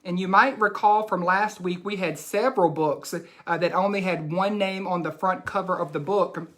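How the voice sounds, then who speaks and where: A woman teacher giving a children's read-aloud, explaining things deliberately and instructively and addressing the children directly. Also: on the low side, a bit bright.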